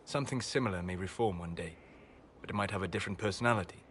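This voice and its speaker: Grave male elf mage , actor-played, video game: measured, protective, solemn, over background ambience. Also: low voice, a little hiss or hum, lightly acted. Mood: quiet sorrow. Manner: measured, solemn, deliberate phrasing. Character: grave elven mage, grieving and righteous, fiercely protective.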